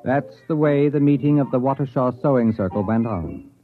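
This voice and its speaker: A man's voice: medium pitch, normal speed, close to an everyday voice. A man acting as a wry, worldly university administrator who narrates his own story in a mid-century radio drama style. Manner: wry, sardonic. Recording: thin phone-like vintage radio recording, faint music.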